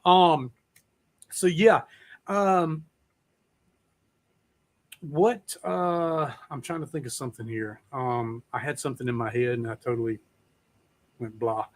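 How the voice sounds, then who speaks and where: A man's voice: medium pitch, fairly even intonation, slow, pauses often. A man hosting a casual, rambling chat in a folksy, laid-back, profane way. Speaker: podcast host.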